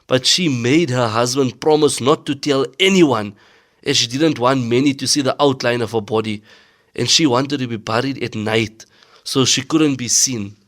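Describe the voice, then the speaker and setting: Man playing stern sheikh, middle pitch, rhetorical preaching style, religious audio drama. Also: normal speed, a bit bright, lightly acted. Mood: admonishing. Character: stern male Islamic teacher admonishing students on modesty. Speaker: religious teacher.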